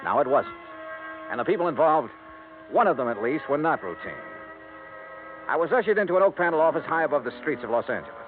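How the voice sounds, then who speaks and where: A man's voice: on the high side, lively intonation, fast talker, faint music behind, close to an everyday voice. A man with an American accent playing a shrewd, skeptical insurance investigator in old-time radio noir, with clipped, probing delivery. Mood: wry narration. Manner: clipped, probing, hard-boiled questioning. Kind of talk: old-time radio noir acting with first-person narration. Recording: muffled, noisy vintage radio recording.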